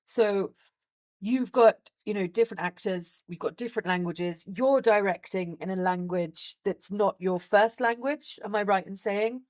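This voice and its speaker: A woman's voice: mid-range voice, a little slow, darkish tone. Woman interviewer asking engaged questions.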